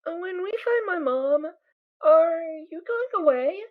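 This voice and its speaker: A woman's voice: extremely high pitch, expressive, slow pace, narrow, tinny audio, heavily acted. A woman voicing a small, naive, cheerful filly pony in a fan audio drama, with a squealing, childlike manner. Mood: worried.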